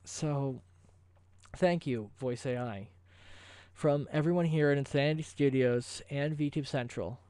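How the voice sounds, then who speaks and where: A man's voice: medium pitch, a little slow, warm tone, clean tone, no echo. A man hosting a video, talking casually and off the cuff in an effusive, rambling promotional style. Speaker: video host. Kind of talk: casual ad-libbed promotional vlog talk.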